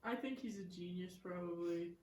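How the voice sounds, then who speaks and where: A woman's voice: lowish voice, normal speed, darkish tone, a little hiss or hum. A young woman co-hosting a casual chat, bubbly and rambling. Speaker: co-host. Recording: echoey room.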